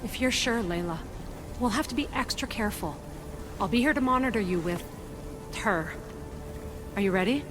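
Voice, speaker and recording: A woman's voice: medium pitch, animated, moderate pace, pauses often, close to an everyday voice. An actress playing a cautious, protective female doctor in a video game, careful and worried about her friend. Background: game music.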